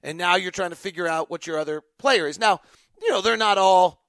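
A male sports podcast host with a high-pitched voice, recorded dry and close. He talks off the cuff, working through his thoughts aloud in broken, emphatic phrases. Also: a little fast, a bit bright, no room sound. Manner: thinking aloud, emphatic, choppy fragmented phrasing. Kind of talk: unscripted basketball talk, answering fan questions.

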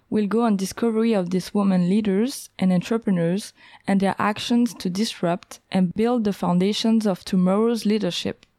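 A woman hosting a podcast, reading a scripted introduction smoothly and then asking her guest questions. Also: medium pitch, dark tone, no room sound. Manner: smooth, read-aloud delivery. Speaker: podcast host.

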